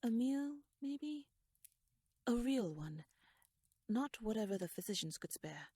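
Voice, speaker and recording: A woman playing a shrewd, teasing royal noblewoman in an ASMR audio roleplay, speaking softly in a wry, courtly, measured way that slips toward a whisper. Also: a little high, very animated, breathy edge, no room sound, full character voice. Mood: coaxing, flirtatious.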